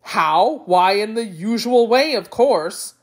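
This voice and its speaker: A woman's voice: medium pitch, expressive, slow pace, voice pushed up. A woman voicing a proud, impatient male tiger in a storybook, blustering and domineering. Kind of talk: storybook character voice.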